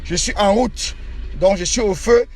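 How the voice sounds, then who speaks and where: A man's voice: sits high, measured pace, darkish tone. Defiant man streaming from his car. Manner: boastful, defiant, repetitive. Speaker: live streamer. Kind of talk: live stream while driving. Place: inside a car in the city.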